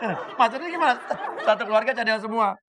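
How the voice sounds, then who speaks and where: A man's voice: high-pitched, lively intonation, quick pace, crowd noise, background noise. A man hosting a comedy variety show, teasing and questioning guests with a laughing, playful manner. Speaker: host.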